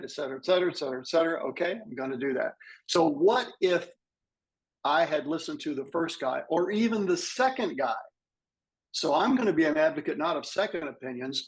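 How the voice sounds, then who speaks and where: Husky man in his sixties. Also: on the high side, lively intonation, a little fast, rough recording. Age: 60s. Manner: earnest, advising listeners directly. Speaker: patient panelist. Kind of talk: sharing a personal story on a video panel.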